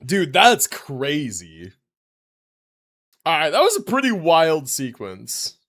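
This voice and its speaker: A man's voice: a little high, huge pitch swings, slow pace, fairly bright tone. A man livestreaming a video game, chatting casually and reacting in slangy, mildly sweary language. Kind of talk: casual livestream chat with gaming commentary. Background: video game music and dialogue.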